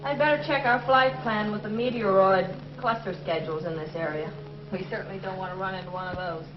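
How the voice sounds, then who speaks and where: A woman's voice: medium pitch, brisk, noisy background, lightly acted. An actress playing an earnest, capable young woman in a 1950s TV sci-fi drama, on a dull, roomy lo-fi soundtrack. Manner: earnest, concerned.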